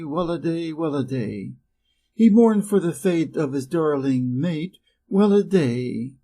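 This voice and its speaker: Warm-toned American man reading a fairy tale. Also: a little high, breathy edge. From Wisconsin, USA. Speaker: reader. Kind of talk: storybook reading.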